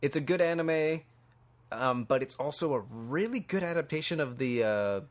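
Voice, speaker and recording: A man's voice: a little high, expressive, moderate pace. A man co-hosting a podcast, chatting casually about pop culture in an enthusiastic, somewhat hesitant way. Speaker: podcast co-host. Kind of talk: casual chat about video games and films.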